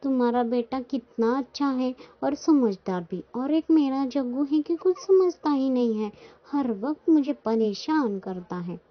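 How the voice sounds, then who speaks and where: A woman voicing an exasperated, dramatic mother crow in a children's cartoon, complaining loudly and emphatically. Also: high-pitched, measured pace, few pauses. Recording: dull lo-fi sound.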